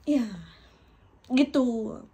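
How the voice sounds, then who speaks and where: A woman's voice: sits high, steady tone, very slow, mellow, slightly rough. A woman in her thirties from Indonesia, talking directly to her viewers in an emotional, personal vlog, often breaking into laughter. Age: 30s. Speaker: vlogger.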